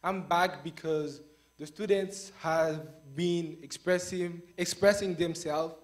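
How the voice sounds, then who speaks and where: A young man from Haiti with a Haitian accent, giving a halting campaign statement as a student candidate at a debate. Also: fairly high pitch, steady tone. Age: young adult. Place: on stage at a live debate.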